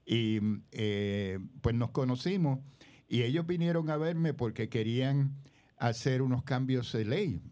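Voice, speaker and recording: A man's voice: medium pitch, a little slow, mellow. An older man, a community leader speaking as a radio guest in a rambling way, full of hesitations.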